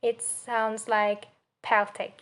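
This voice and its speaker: A young woman with a Turkish accent presents like a student giving an assignment. Her voice sits fairly high and stays quite flat. She goes slowly and haltingly, searching for words and setting out examples with care. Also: clean tone. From Turkey. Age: young adult. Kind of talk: spoken assignment presentation on pronunciation.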